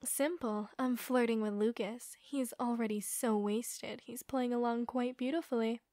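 A woman voicing a bossy, scheming young woman villain in an animated roleplay drama. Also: fairly high pitch, unhurried, no room sound, studio quality.

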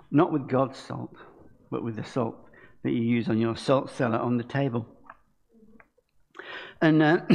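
A man's voice: medium pitch, gravelly, lo-fi sound. An older man giving an informal sermon from a lectern in a church, chatting and asking the congregation questions. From England. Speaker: preacher. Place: church hall stage at a lectern.

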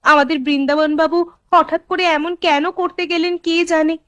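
A woman's voice: sits high, fairly even intonation, normal speed, fairly bright tone, dry recording. A woman voicing an anxious, fretful wife of a poor farmer in a cartoon, given to exclamations. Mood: alarmed, worried. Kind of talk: Bengali cartoon voice acting.

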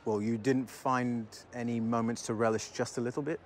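A man's voice: mid-range voice, flat delivery, normal speed, slight background noise, lightly acted. A man playing a courteous, probing TV sports interviewer in a post-match game cutscene.

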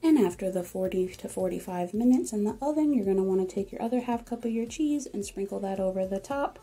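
Woman, home cooking host, chatty, very mellow voice, slight breathiness. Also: medium pitch, lively intonation, fast talker, breathy edge, faint music behind. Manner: chatty, encouraging, step-by-step. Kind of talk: friendly recipe voice-over.

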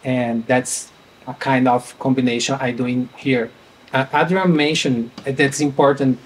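A young man from Brazil speaks with a Brazilian Portuguese accent at a conference panel table, and his middle-pitched voice often stops short as he searches for words in a language that is not his own. Faint room ambience sits under his voice. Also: steady tone, measured pace, a little hiss or hum, low-quality recording. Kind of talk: panel presentation.

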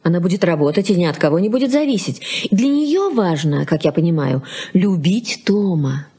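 An actress playing a thoughtful, weary middle-aged wife and mother in a Soviet radio play, speaking earnestly and deliberately. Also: on the low side, animated, dark tone, husky. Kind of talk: Soviet radio drama acting.

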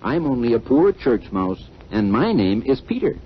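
A man's voice: medium pitch, sing-song, moderate pace, a little hiss or hum, voice pushed up, lightly acted. A man voicing a small, earnest, chirpy male church mouse with a poetic bent for a children's radio story. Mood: eager. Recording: dull vintage 1940s radio recording.

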